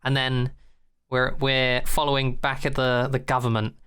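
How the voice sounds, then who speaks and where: A young man on a casual podcast, speaking in a clear, crisp voice. He is blunt and sarcastic, swearing offhandedly and mocking what he watched. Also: medium pitch, monotone, moderate pace, pushed and bright. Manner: blunt, sarcastic, swears casually, mocks the show with exaggerated quotes. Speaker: podcast co-host. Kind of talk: casual chat.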